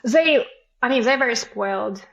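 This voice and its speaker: A woman's voice: a little high, animated, normal speed, gravelly, a bit bright, lo-fi sound. A woman in her forties with a Ukrainian accent, a podcast guest telling stories in casual chat and acting out family exchanges. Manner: storytelling, acts out family dialogue.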